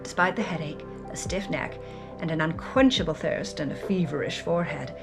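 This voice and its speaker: A woman's voice: low-pitched, moderate pace, creaky, over music. A woman playing a jaded, wry 1950s movie actress on the run, in a theatrical, confessional monologue. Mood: weary suffering. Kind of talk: dramatic first-person monologue.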